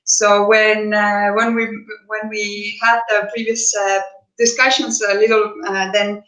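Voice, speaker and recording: A woman's voice: medium pitch, flat delivery, unhurried, fairly bright tone. Hesitant woman in an echoey room. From Estonia. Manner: hesitant, many restarts and fillers. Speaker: business coach and interview guest. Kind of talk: conversational interview answers.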